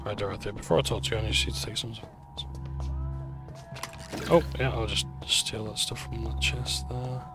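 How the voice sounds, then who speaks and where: A man's voice: on the low side, steady tone, normal speed, pauses often, over music. A man commentating on a video game in a laid-back, joking way, sounding throaty and dehydrated. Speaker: gaming video host. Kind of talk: let's play commentary.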